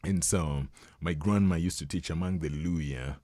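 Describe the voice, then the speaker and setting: A young Kenyan man whose first language is Luo, explaining things in a relaxed, conversational way during an interview and chuckling often. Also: very deep voice, a little slow, dry recording. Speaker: guest.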